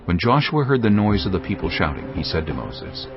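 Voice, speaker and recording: A man narrating scripture in a steady, formal storytelling manner. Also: deep voice, a little fast, music in the background. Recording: dull lo-fi audio with loud background score.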